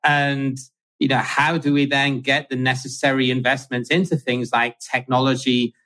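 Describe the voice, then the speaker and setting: A man's voice: medium pitch, level tone, moderate pace, a bit bright. A man hosting a livestreamed podcast interview, asking questions conversationally and often restarting his phrases. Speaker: podcast host.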